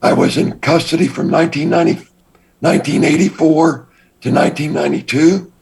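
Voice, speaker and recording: An older man with a raspy voice, a guest telling his past story in a measured, deliberate way. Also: on the high side, fairly even intonation, a little mellow. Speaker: interview guest. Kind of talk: recounting his past in an interview.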